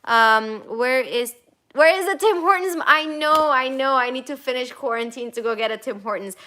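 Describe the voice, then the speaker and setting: A bright, clear-voiced young woman whose first language is Armenian, hosting a relaxed live Q&A from home. She chats casually, reads viewer comments aloud, and drifts from one question to the next. She often restarts her sentences and fills pauses with "um". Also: sits high. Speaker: travel vlogger answering live chat. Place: home in Toronto.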